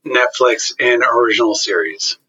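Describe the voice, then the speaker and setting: A man being interviewed as a guest, explaining his work in a measured way with some fillers. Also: mid-range voice, sharp tone, lo-fi sound, a little hiss or hum. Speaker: interview guest, finance executive. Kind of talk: casual interview.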